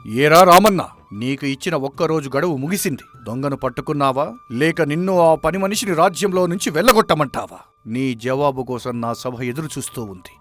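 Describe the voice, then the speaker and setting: Man as court ruler type, stern, impatient, commanding, slightly raised pitch, cartoon folk tale. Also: fairly quick, a bit bright, faint music behind, natural voice. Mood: stern, threatening. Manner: commanding, scornful. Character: stern impatient male authority at royal court. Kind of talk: animated cartoon story dialogue.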